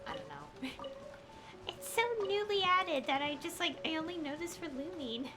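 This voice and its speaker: Animated woman streaming a game, music behind. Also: high voice, measured pace. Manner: rambling, thinking aloud. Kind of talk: casual livestream gameplay commentary. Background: video game music.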